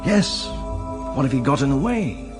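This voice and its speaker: An actor plays a shrewd British man quietly probing the underworld in a radio drama. He is polite and deliberate, with very varied intonation, and music plays clearly behind him. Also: mid-range voice, very animated, close to an everyday voice. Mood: probing, smooth.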